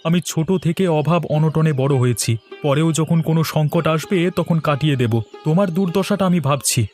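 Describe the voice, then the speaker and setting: A man's voice: fairly high pitch, moderate pace, darkish tone, soft background music, natural voice. A man voicing a frugal, worried young shopkeeper husband in a cartoon, earnest and lecturing in manner. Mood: worried, admonishing. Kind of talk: Bengali cartoon voice acting.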